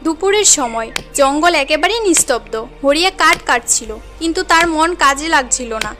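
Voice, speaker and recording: A woman tells a cartoon tale in scripted, dramatic narration. Her voice is notably high, with faint music playing underneath. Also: lively intonation, moderate pace, a bit bright. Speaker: story narrator.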